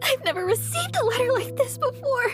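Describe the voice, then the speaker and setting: Anxious, very high-pitched teenage girl. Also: sing-song, a little fast. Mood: anxious, panicked. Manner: hesitant, faltering, earnest. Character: anxious, sweet teenage girl, easily panicked. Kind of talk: English video game voice acting. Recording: loud music behind the voice.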